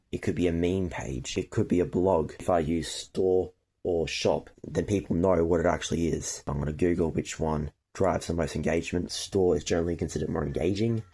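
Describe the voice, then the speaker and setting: A man's voice: low voice, warm tone. A teenage boy talking casually to his viewers as he narrates his progress on an online business. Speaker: vlogger starting an online business. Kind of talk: casual vlog talk, narrating own progress.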